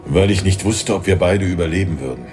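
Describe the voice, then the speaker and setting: Man doing a serious lost dad, deep and low, soft music under. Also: brisk, natural voice. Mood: solemn. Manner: solemn and earnest. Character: grave long-lost father, time-war soldier. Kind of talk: audio drama acting.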